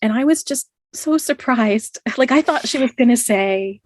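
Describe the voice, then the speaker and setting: A woman's voice: a little high, expressive, moderate pace, no echo. A middle-aged woman telling a personal story as a podcast guest, laughing often and filling with "you know" and "like". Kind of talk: casual storytelling in an interview.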